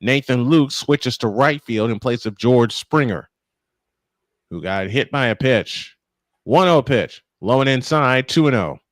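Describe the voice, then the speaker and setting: A man's voice: medium pitch, expressive, measured pace, dry recording. An American man doing live baseball play-by-play mixed with casual banter. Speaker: play-by-play commentator.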